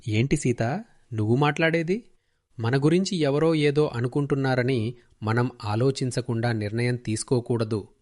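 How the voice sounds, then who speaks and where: Cartoon husband: male actor, principled, earnest, reasoning, medium-pitched voice. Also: normal speed, darkish tone, lightly acted. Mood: taken aback, firm. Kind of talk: children's moral cartoon voice acting.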